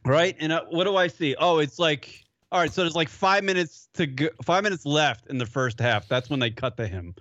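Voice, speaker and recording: A man's voice: fairly high pitch, moderate pace, hardly any pauses, a bit bright, no echo, muffled recording. A man co-hosting a radio show, bantering crudely and mocking a sideline reporter with put-on impressions. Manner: profane, mocking, acts out impressions.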